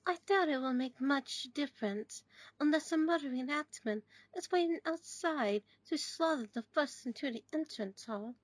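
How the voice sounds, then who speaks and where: A woman's voice: high-pitched, normal speed, dry recording, natural voice. A woman acting a stern older schoolteacher in an audio drama, clipped, formal and no-nonsense. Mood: dry, brisk.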